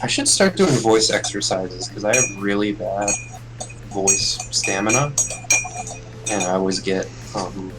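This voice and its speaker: A man's voice: fairly low pitch, expressive, loud music behind the voice, rough recording. A man with a slightly nasal, congested voice, game master of the group, chatting casually and stumbling over repeated words. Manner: halting, repeats words as he talks. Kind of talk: casual pre-game chat.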